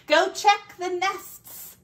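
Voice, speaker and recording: Woman voicing a bossy, high-pitched storybook rooster. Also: steady tone, extremely slow, fairly bright tone, exaggerated voice. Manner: bossy, commanding. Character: bossy loud male rooster barking farm orders. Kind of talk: animated children's storybook voice.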